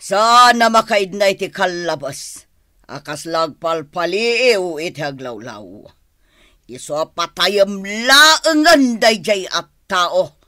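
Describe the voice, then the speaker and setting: Scheming Filipino sidekick: animated, insinuating, close dry sound, radio drama villainy. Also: male voice, high voice, slow pace, dry recording, exaggerated voice. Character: sly, scheming henchman advising his boss.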